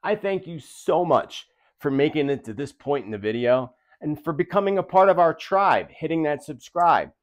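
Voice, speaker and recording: A man's voice: on the high side, animated, normal speed, hardly any pauses. A man hosting a video, speaking earnestly and emphatically straight to his viewers in commentary style. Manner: earnest, emphatic, rhetorical questions. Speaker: host of a prophecy video channel.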